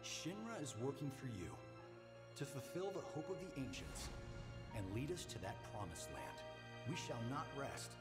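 Male game narrator played by an actor: solemn corporate storyteller, widely varied delivery, loud music underneath. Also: medium pitch, sing-song, normal speed, close to an everyday voice. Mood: solemn, grand. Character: male corporate tour guide narrating a grand company myth. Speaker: tour guide narrator. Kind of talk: scripted promotional narration.

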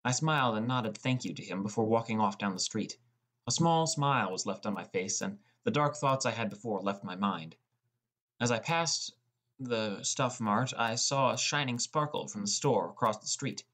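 A man with a fairly low voice narrates a first-person story. It is scripted audiobook reading. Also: moderate pace, a bit bright.

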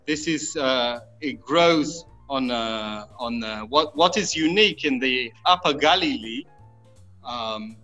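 A man in his thirties from Israel, a winemaker explaining his work informally, pausing and repeating words as he goes. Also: a little high, sharp tone. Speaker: winemaker, guest. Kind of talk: informal virtual tour explanation. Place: underground stone wine cave. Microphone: phone or laptop at arm's length. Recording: dull lo-fi video-call audio, music behind.

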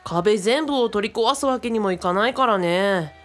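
A woman's voice: medium pitch, normal speed, close to an everyday voice. A woman playing a friendly, knowledgeable adult companion in a radio drama, explaining casually and thinking aloud. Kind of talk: conversational radio drama acting.

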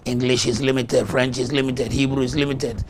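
A middle-aged male pastor, a Yoruba native speaker, preaching a fervent televised morning sermon and breaking into rhythmic bursts of rapid tongues, recorded on a lapel mic in a TV studio. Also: mid-range voice, little pitch movement, darkish tone, a little hiss or hum. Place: TV studio set.

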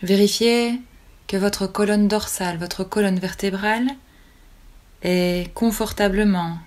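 A woman leading a guided relaxation as a yoga nidra teacher, calmly and soothingly, with long pauses between short phrases. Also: mid-range voice.

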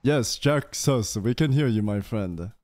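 A young man runs a gaming stream from his home setup, teasing his group in a playful, jumpy way. His pitch swings widely while he takes his time with his words. He sits close to a studio mic on a boom arm. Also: animated, measured pace, darkish tone, a little hiss or hum. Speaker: streamer leading a game group. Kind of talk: casual gaming voice chat. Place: indoor home streaming room.

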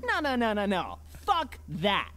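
Anime parody vampire, male actor: undead, vulgar, cocky, irate, very animated pitch. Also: a little slow, a little hiss or hum, full character voice. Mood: indignant. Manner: profane, sneering, theatrical delivery.

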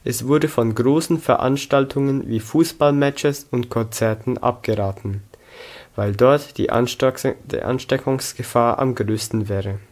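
A man's voice: medium pitch, a little mellow. Teenage boy reading a story aloud. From Zug, Switzerland. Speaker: student reading own story. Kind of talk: scripted story narration, read aloud. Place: small indoor room against a plain wall.

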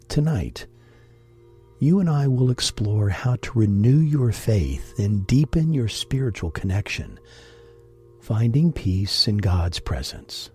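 A man guiding a sleep meditation in a gentle, deliberate, breathy voice with long pauses. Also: on the low side. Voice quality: husky, breathy. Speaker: meditation guide and host. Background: music.

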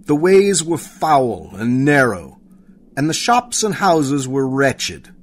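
A man's voice: medium pitch, big pitch swings, takes it slowly. A man narrating a story dramatically from a script. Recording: clear background noise.

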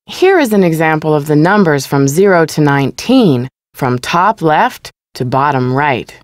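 A woman giving scripted educational narration as a museum exhibit guide in a video game, measured, formal and explanatory. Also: sits low, sing-song, no room sound.

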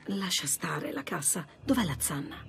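An actress playing a bold, sardonic treasure hunter in her thirties in a video game, speaking in a brisk, wry way. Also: fairly low pitch, sing-song, vocal fry, slight background noise. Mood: controlled. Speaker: voice actor. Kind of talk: naturalistic video game acting. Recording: loud game soundtrack and effects.

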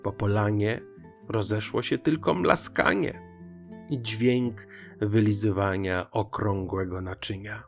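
Slow, expressive male storyteller. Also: lowish voice, creaky. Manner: expressive, dramatic. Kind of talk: scripted children's fairy tale narration. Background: music.

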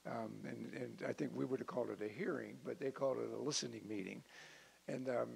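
A man speaking informally as a council member at a meeting, with a hesitant, halting delivery. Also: medium pitch, creaky, a little mellow, breathy edge. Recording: hissy meeting-room audio.

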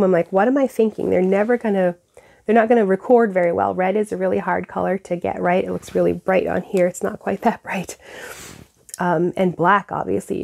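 A woman's voice: mid-range voice, vocal fry. A woman in her thirties with a stuffed-up nose, chatting casually to camera as host of a knitting podcast, recorded on a lapel mic at home. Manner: relaxed, chatty, frequent fillers. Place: home craft room with bookshelves.

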